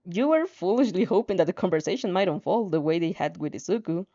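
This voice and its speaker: A woman's voice: lowish voice, animated, fast, dark tone. A woman narrating fan fiction expressively, with casual reactions, on a slightly dull, lo-fi recording.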